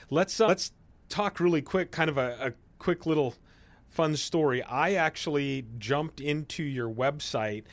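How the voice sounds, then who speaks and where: A man's voice: medium pitch, fairly bright tone, dry recording. A man hosting a podcast, asking questions in a friendly, casual way. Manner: friendly, with frequent filler and restarts. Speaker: podcast host. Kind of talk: casual interview talk.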